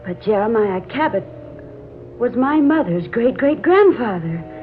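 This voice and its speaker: A woman's voice: on the high side, sing-song, measured pace, soft background music. An actress playing an anxious, wistful young woman with a hesitant, earnest way of talking in a 1940s radio drama. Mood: startled. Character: anxious, wistful young woman attached to her family house. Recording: muffled, noisy 1940s radio recording.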